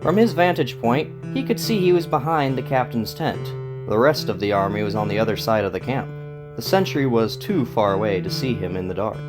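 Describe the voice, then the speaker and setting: A man's voice: medium pitch, expressive, fairly quick. A man hosting and narrating a scripted story, with plucked guitar music behind him. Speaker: host and narrator.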